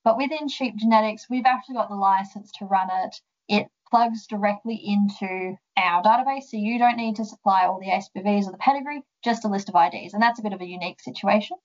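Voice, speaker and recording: Young Australian woman guest, chatty and explanatory, medium pitch, a bit muffled. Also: fast. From central west New South Wales, Australia. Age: young adult. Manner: friendly, explanatory, with frequent ums. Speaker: guest, sheep genetics development officer. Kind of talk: casual interview answers.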